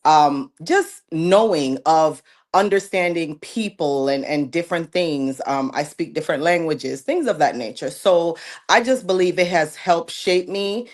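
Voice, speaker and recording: A woman from Jamaica with a low voice and a Jamaican accent that slips through now and then. She answers interview questions in a thoughtful, agreeable way. She sounds like she is in her forties. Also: unhurried. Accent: Jamaican, coming through now and then. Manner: warm, reflective, often says "absolutely" and "thank you". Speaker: guest, plus-size model. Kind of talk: casual interview chat.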